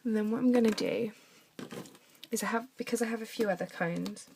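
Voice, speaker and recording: A young woman with a croaky voice from a cough, chatting through a henna craft demonstration at her desk at home. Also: on the high side, brisk, slight background noise. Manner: chatty, talks while drawing, drops to soft mutters. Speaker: craft tutorial maker.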